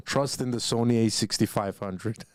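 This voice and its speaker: A man's voice: fairly low pitch, normal speed, clear, resonant tone, dry recording. A man co-hosting a livestream, joking and teasing in casual chat. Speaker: co-host.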